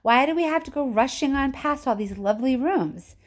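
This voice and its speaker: A woman puts on a spoiled little girl for a children's storybook. She is demanding and quick to contradict. Her pitch sits high, with a whiny, argumentative edge. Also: expressive. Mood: complaining. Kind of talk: children's storybook character reading.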